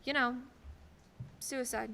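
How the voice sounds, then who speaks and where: Casting: young woman playing an earnest, chatty guardian angel for radio drama, very forceful high voice, echoey hall. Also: steady tone, slow pace, vocal fry, heavily acted. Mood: chatty, matter-of-fact. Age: teens to young adult. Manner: eager, chatty, quaintly proper. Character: chirpy, earnest second-class guardian angel, female. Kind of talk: stage radio play acting. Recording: echoey hall, faint background noise.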